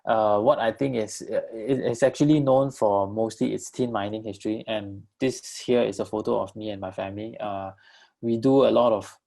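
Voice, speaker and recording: A man from Malaysia presents his venture in a low, gravelly voice. He talks in a halting way, with pauses, fillers and restarts, and he takes questions from an online audience. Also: fairly even intonation, darkish tone. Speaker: co-founder presenting his enterprise. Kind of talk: virtual talk with live questions.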